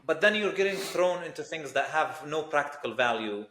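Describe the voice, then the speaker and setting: A man's voice: fairly high pitch, expressive, normal speed, fairly bright tone, slight background noise. Man in an echoey room. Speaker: doctor, interview guest. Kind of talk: casual interview talk.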